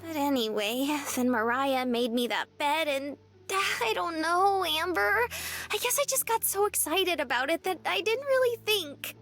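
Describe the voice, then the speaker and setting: Casting: girlish young woman, extremely high voice, naive, bubbly and apologetic, visual novel, music underneath. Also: animated, measured pace, a bit bright, exaggerated voice. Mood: earnest, excited. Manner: girlish, earnest.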